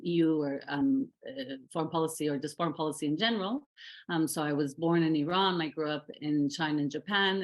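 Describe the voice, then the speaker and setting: Middle-aged woman scholar, lowish voice, thoughtful and searching, full of "um" and restarts. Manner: thoughtful, many fillers and restarts. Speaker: researcher. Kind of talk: reflective interview talk about her academic path.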